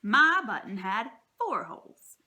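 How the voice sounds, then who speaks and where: Woman voicing a grumpy, exasperated storybook toad, loud and bright. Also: medium pitch, sing-song, measured pace, heavily acted. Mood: insistent. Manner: emphatic, wailing complaints.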